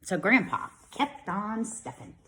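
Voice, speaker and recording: Kindergarten teacher type: woman, very lively pitch, expressive storybook reading with chatty asides. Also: takes it slowly. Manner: expressive, speaking to young children. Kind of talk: animated storybook read-aloud with casual asides.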